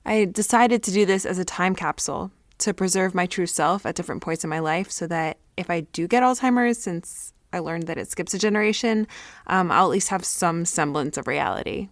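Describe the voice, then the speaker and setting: A woman playing an excitable, self-important teenage girl who rambles dramatically through a confessional audio diary. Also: fairly low pitch, brisk, no room sound, clean studio sound, lightly acted. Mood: chatty, self-important. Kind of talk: confessional audio diary monologue, naturalistic acting.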